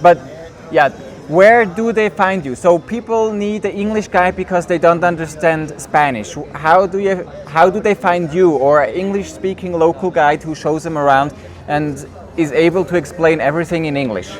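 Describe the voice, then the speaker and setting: A man's voice: a little high, moderate pace. A man hosting a travel interview outdoors, asking friendly, simple questions with some restarts. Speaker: travel vlog host interviewing a guide. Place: outdoors on stairs in a city neighborhood.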